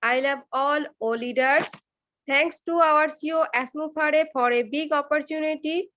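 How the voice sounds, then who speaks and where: A woman with an Indian accent and a high voice gives a polite, formal thank-you on a video call. She keeps her thanks short and repeats them. The audio sounds dry. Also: normal speed, a bit bright, no echo. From West Bengal, India. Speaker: participant giving thanks.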